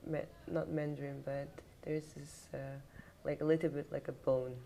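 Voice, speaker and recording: Low, gravelly woman, hesitant. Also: flat delivery, normal speed, mellow, slight background noise. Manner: hesitant, many fillers. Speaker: guest. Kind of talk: casual conversation.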